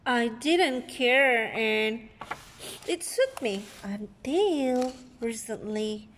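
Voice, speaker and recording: A woman voicing a moody, self-confessed bad sunflower seed from a storybook, with dramatic, drawn-out emphasis. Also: on the high side, lively intonation, fairly bright tone, slight background noise, heavily acted. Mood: resigned, hopeful. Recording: echoey room, handheld phone recording.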